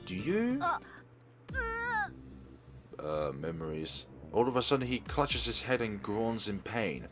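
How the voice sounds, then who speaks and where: A man's voice: on the low side, very animated, slow, fairly bright tone. A man hosting a let's play, reading a visual novel's text aloud and dropping casual asides between the lines. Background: faint game music.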